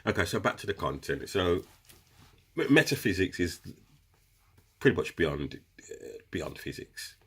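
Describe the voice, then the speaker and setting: A man with a very deep, low voice and a husky edge, stuffy from a cold and a runny nose. He talks casually and directly to his viewers, explaining a practice step by step like a relaxed teacher. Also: unhurried, pauses often. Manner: chatty, addresses viewers as guys. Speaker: crystal seller explaining meditation. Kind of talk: casual instructional video talk.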